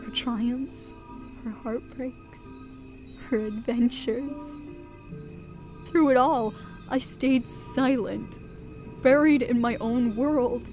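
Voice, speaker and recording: A woman playing a guilt-ridden pony queen in a radio drama, speaking in a halting, emotional way. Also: a little high, darkish tone, soft background music. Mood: regretful, sorrowful. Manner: halting, emotional, anguished delivery. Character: grieving, guilt-ridden pony queen, gentle elder royal sister. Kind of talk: dramatic radio play acting.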